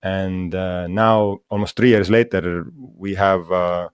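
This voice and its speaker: A man from Estonia, a guest in an interview, speaking English in a halting way with many fillers and restarts. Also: sits low, fairly even intonation, clean tone, no room sound, studio quality. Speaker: guest, mushroom school founder. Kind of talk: casual interview talk.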